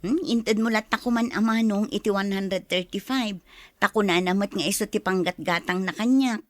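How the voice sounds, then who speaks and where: A woman's voice: mid-range voice, moderate pace, no echo, clean studio sound, lightly acted. A woman with an Ilocano accent playing a patient, apologetic younger sister in a family drama, speaking in a conciliatory way. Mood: pleading. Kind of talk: radio-style family drama acting.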